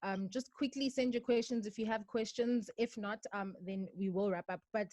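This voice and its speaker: A young woman hosting an online book discussion over a video call, asking long, measured questions and keeping track of the time. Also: mid-range voice, fairly even intonation, a little mellow, no room sound. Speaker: interview host.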